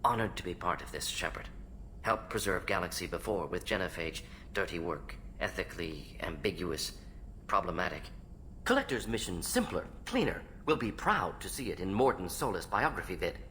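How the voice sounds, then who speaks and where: An actor playing an analytical alien scientist in a video game, talking in clipped, clinical fragments with dropped pronouns. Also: male voice, sits low, animated, normal speed, sharp tone, slight background noise. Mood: proud, wry. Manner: clipped telegraphic phrases, clinical, omits pronouns. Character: fast-talking, analytical alien scientist, proud and ageing uncle. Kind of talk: video game dialogue acting.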